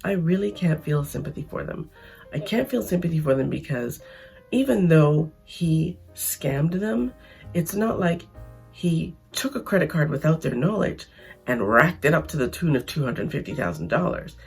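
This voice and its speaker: A Canadian woman talks to her viewers in a chatty, emphatic vlog, her voice gravelly and raspy from losing it. She restarts her sentences often as she makes her point. Faint music plays behind her. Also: sits low, animated, moderate pace. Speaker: vlogger. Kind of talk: casual opinion vlog.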